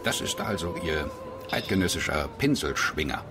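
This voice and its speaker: A man's voice: a bass voice, lively intonation, moderate pace, music in the background. An actor playing a hearty, pompous travelling salesman in a radio drama, making formal, jovial pronouncements.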